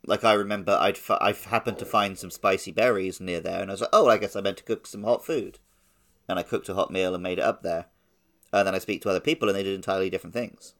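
A man's voice: low voice, fast talker, fairly bright tone. A man co-hosting a podcast, talking casually about a video game. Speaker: podcast co-host.

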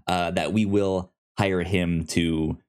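A man co-hosting a film review podcast, chatting casually with a halting, stammering delivery. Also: low voice, fairly even intonation, darkish tone, clean tone. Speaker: podcast co-host reviewing films. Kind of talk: casual movie review chat.

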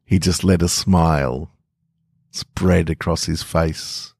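A man's voice: deep voice, steady tone, slow, vocal fry, a little mellow, slightly rough, no room sound, clean studio sound. A man hosting a podcast and reading short stories as a narrator. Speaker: podcast host and story reader. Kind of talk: scripted story narration.

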